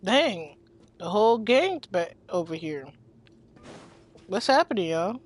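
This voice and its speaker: A woman's voice: mid-range voice, big pitch swings, takes it slowly. A female gamer giving casual, informal commentary and muttered reactions while she plays. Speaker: video game streamer. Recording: faint game music behind.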